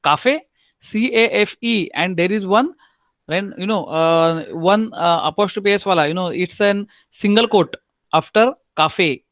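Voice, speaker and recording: Marathi-accented male teacher, higher-pitched voice, patient and repetitive, dry computer-mic sound on a video call. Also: fairly bright tone, no echo. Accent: Marathi-accented Indian English. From Maharashtra, India. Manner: repeats himself, keeps asking "right?", spells out German words slowly. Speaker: German language teacher. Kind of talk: online lecture to a class.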